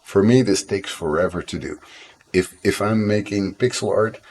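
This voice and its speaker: Dutch man, 30s, deep voice; rambling, self-deprecating indie dev streaming from his home desk, slight cough. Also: moderate pace, darkish tone. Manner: rambling, self-deprecating, frequent fillers and restarts. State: coughing now and then. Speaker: game developer streamer. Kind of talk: casual live-stream chat.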